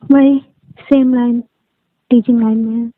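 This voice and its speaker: Woman on a phone call, tinny dry sound, just chatting casually, voice a bit high. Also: steady tone, measured pace, dry recording. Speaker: caller in a phone conversation. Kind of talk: casual private phone chat.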